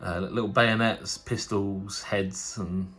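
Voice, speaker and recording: A man's voice: lowish voice, steady tone, a little slow, fairly bright tone, soft background music. A man with a cold and a nasal voice, hosting a casual tabletop wargaming unboxing. He rambles chattily, full of fillers and restarts. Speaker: tabletop wargaming channel host.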